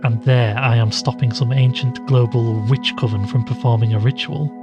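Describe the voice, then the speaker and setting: An actor playing an anxious, weary podcaster in an audio drama, with a brooding, reflective manner. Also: male voice, mid-range voice, fairly even intonation, normal speed, dull audio, music in the background, natural voice. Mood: brooding narration. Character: anxious, weary male podcaster drawn into occult mystery. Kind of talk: audio drama narration and dialogue.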